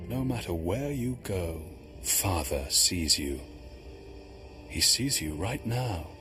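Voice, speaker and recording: An actor playing a mocking, formal Sith villain in a video game, speaking in measured, taunting phrases. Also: male voice, low-pitched. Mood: cold command. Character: smooth, mocking Sith villain, a zealous servant of an emperor. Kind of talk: dramatic video game acting.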